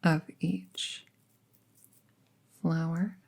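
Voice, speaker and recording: A woman plays a friendly young apprentice witch in an intimate audio roleplay. Her voice is low and mellow, breathy and often close to a whisper. She takes her time, murmuring in a casual, reassuring way. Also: level tone, extremely slow, exaggerated voice. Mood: focused, calm. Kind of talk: roleplay audio drama, soft ASMR-like delivery.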